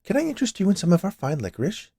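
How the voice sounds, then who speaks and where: A man's voice: sits high, huge pitch swings, very fast, vocal fry, mellow, breathy edge, no echo, pitched above the performer's own voice, exaggerated voice. A man reading a story, voicing a cheerful, chatty woman who keeps a confectionery shop and naively argues. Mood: cheerful, eager. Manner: annoyingly cheerful, rattling off lists.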